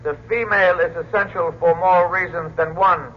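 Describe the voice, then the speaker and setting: A man's voice: sits high, fairly even intonation, normal speed. A man playing a cold, formal alien examiner in an old radio drama, speaking with clinical precision. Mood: clinical menace. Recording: muffled lo-fi old radio recording.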